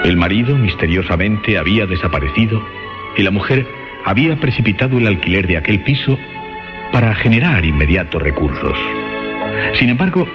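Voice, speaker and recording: Male storyteller for radio suspense: very low, expressive pitch, measured dramatic reading, dulled sound. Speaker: narrator. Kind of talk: dramatic scripted narration for suspense radio theatre. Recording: dull band-limited radio sound with music. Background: clear music.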